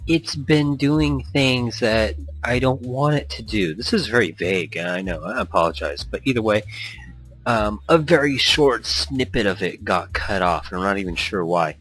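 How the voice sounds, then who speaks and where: A man's voice: fairly low pitch, expressive, moderate pace, a bit bright, low-quality recording. A man hosting a gaming let's-play in a casual, rambling, self-deprecating chat, reading game text aloud. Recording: music behind the voice. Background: background music.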